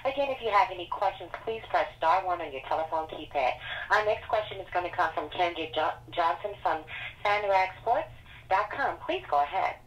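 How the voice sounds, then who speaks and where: A woman's voice: lowish voice, lively intonation, a little fast. A woman acting as conference call operator, making formal scripted announcements over a telephone line. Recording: distant, dull telephone line.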